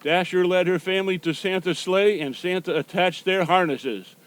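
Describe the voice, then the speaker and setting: A man's voice: high voice, moderate pace, smooth. A man appearing as Santa Claus, reading a picture book aloud to young children. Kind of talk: children's storybook read-aloud.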